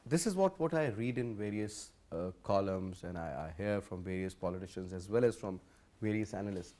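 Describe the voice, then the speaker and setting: A man's voice: on the low side, lively intonation, fairly quick, warm tone. A man hosting a TV panel discussion, interjecting and politely addressing his guests as sir. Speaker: talk show host.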